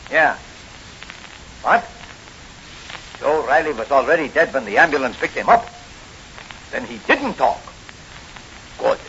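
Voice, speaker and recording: A man's voice: fairly high pitch, fairly bright tone, exaggerated voice. An actor playing a shifty, blustering gangster bar owner with slangy tough-guy talk in 1940s radio style. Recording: muffled, hissy old radio recording.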